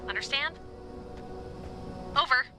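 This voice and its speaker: A woman's voice: high voice, expressive, slow, over music. A woman acting a friendly, chatty environmental researcher giving a briefing by walkie-talkie in a video game. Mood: friendly, upbeat. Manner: chatty, rambling, upbeat. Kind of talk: naturalistic video game dialogue.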